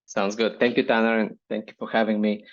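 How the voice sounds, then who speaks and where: A man with a Bulgarian accent answers interview questions in a measured, reflective way. His voice sits on the low side. The recording sounds dull and lo-fi. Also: level tone. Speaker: startup founder and guest. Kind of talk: business interview.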